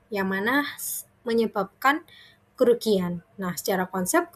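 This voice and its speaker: A young woman gives a student presentation in an informal, explanatory style. She talks conversationally and goes through things step by step in a medium-pitched voice. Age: young adult.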